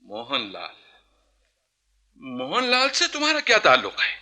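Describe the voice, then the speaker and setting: An actor playing a young, suspicious and commanding Nawab in a Hindi historical radio play, measured and regal in manner. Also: male voice, sits high, wildly swooping pitch, pushed and bright, heavily acted. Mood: stern, threatening.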